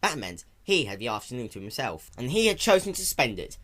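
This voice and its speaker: A man narrates a story in a dramatic reading style. His voice has a medium pitch and rises and falls widely as he reads. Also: very animated, brisk, fairly bright tone.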